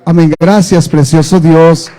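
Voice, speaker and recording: Male church leader, reverent spontaneous prayer. High-pitched, warm timbre, fervent phrasing. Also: little pitch movement, measured pace. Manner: reverent, repeatedly invoking God.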